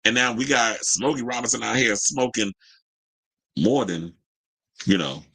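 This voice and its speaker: Guy doing casual gossip commentary, bright voice, sassy and candid, super dry recording. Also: mid-range voice, lively intonation, a little slow, slightly rough, dry recording, poor audio. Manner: sassy, chatty, colloquial, candid. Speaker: host of a commentary show. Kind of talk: casual gossip news commentary to an online audience.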